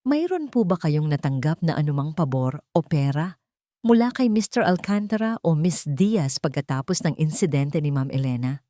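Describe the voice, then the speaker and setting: Determined male trial lawyer for audio drama read by a woman, lowish, deliberate, formal, dry recording. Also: animated, warm tone, dry recording. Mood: pointed, confident. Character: calm, determined male lawyer questioning witnesses in court.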